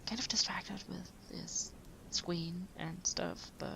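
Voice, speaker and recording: Caring late-night writer partner played by a woman, soft and breathy with some creak, slow hesitant murmur, sleep-aid audio roleplay. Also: lowish voice, fairly even intonation, full character voice, poor audio. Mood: gentle, reassuring. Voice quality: breathy, half-whispered. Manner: gentle, hesitant, unhurried murmuring.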